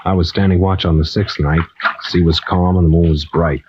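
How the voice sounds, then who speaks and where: An actor playing a tough, laconic American pilot in an old-time radio drama, plain-spoken, clipped and understated. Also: male voice, deep voice, fairly even intonation, a little fast, natural voice. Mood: grim recollection. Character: tough, laconic male pilot, wartime veteran, gallant and wry. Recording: noisy, muffled old radio recording.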